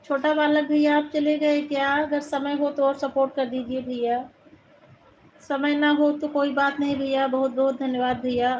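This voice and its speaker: A woman's voice: sits high, flat delivery, normal speed, dull audio, a little hiss or hum. A woman from Uttar Pradesh with an Awadhi-tinged Hindi accent, hosting a live stream, chatting casually and thanking viewers one by one. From Uttar Pradesh, India. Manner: warm thanking, calls viewers brother. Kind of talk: casual live chat reading viewer comments.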